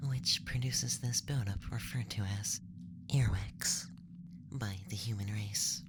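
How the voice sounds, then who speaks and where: A man voicing a cool, analytical alien scientist in a whispered ASMR roleplay, measured and detached with a sly teasing streak. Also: lowish voice, natural voice. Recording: loud background noise and ambience.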